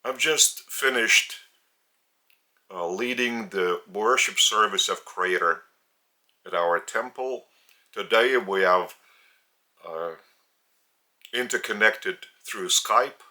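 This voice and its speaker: Slow, earnest Lithuanian-accented man. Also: on the low side, sharp tone. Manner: slow, deliberate and earnest, with many pauses. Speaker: religious teacher. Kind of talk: spoken spiritual teaching. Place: indoors at home.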